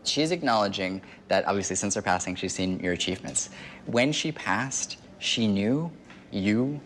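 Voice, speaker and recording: A man in his twenties speaking as a medium, relaying impressions in a halting, conversational way. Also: fairly low pitch, animated, fairly bright tone, a little hiss or hum. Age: 20s. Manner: halting, narrating impressions as they come. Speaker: medium, show host. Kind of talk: psychic reading, spontaneous conversational. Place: indoor TV studio set. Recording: music behind the voice. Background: music.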